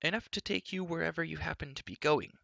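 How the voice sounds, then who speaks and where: A man voicing a spirited, sharp-tongued young woman who scolds with quick, mocking retorts. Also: on the high side, sing-song, dry recording, lo-fi sound, voice pushed up, exaggerated voice.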